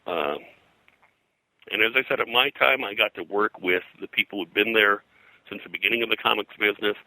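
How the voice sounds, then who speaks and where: An older man with a low voice recalls his career in a conversational interview. He rambles and halts, doubling back on words. The sound is sharp and forceful, captured very dry and close. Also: dry recording, low-quality recording. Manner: rambling, halting, repeats words. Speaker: interview guest, pop culture historian and pulp publisher.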